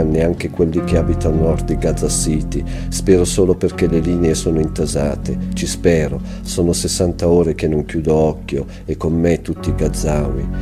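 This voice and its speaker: A man giving a literary reading of a first-person diary. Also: low-pitched. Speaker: reader. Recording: clear music behind the voice. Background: music.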